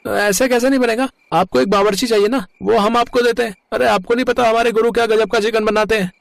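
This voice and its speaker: A man's voice: sits high, fairly even intonation, fast, creaky, no echo. A man voicing a cheeky, eager truck helper with a coaxing, slangy way of talking in a moral story cartoon. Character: cheeky, hungry young male truck helper, eager sidekick. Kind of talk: animated moral story dialogue.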